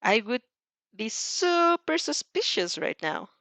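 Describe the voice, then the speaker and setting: A woman's voice: fairly high pitch, sing-song, slow pace, vocal fry, fairly bright tone, no echo, exaggerated voice. A woman voicing an easygoing, teasing young male hero mentor in an audio fan fiction. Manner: casual, teasing.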